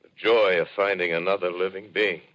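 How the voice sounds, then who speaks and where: An actor playing a weary, educated survivor in a ruined land, speaking in solemn, measured phrases in a 1930s radio drama style. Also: male voice, low-pitched, fairly even intonation, natural voice. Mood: solemn, haunted. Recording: dull, lo-fi 1930s radio recording.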